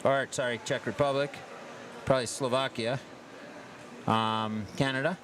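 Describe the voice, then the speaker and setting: An American man hosting a livestream from a sports event in a casual, halting way, pausing often mid-sentence. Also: mid-range voice, steady tone. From United States. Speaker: livestream host at an ice hockey tournament. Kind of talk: casual live chat. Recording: clear urban ambient noise, live on location.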